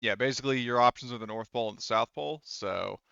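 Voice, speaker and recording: A man's voice: medium pitch, fast talker, vocal fry, fairly bright tone, clean tone, dry recording, lo-fi sound. A man acting as game master of a tabletop roleplaying game, talking casually and hesitantly as he searches for words.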